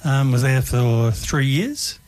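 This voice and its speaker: An older man with an Australian accent recounts his working life on air. He speaks at a middling pitch, unhurried and a little hesitant, filling his pauses with ums. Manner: relaxed, hesitant with frequent ums. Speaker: guest. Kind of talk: life story interview.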